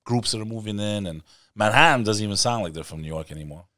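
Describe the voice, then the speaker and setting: Low-voiced male host, buddy-style and animated, dry close mic. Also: fast, no room sound. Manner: chummy, fired-up, calls the guest "brother" and "man". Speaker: podcast host. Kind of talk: casual interview chat.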